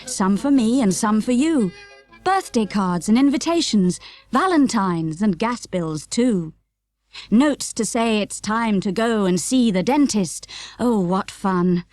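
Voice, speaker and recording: A woman's voice: a little high, expressive, slow pace, darkish tone, no echo. A woman performing scripted rhyming verse for a children's audiobook. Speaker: audiobook reader. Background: music.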